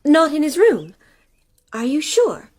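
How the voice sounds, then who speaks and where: High-pitched, expressive genteel lady. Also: takes it slowly, heavily acted. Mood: puzzled, anxious. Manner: polite, commanding with servants. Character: genteel lady of a country house, anxious wife. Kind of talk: radio play acting.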